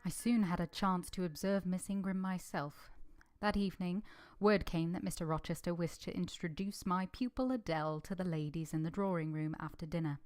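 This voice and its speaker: A woman's voice: lowish voice, normal speed, darkish tone, lightly acted. A woman acting an earnest, reserved young governess in a vintage radio drama, composed and polite in dialogue and narration. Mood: composed, reflective.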